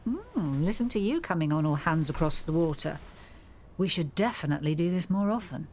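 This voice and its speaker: A woman's voice: low voice, animated, brisk, a little mellow, a little hiss or hum. An actress playing a teasing middle-aged pub landlady in a radio soap.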